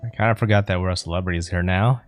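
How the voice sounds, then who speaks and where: A man's voice: low voice, fast talker, over music. A man giving casual let's play commentary as a game streamer.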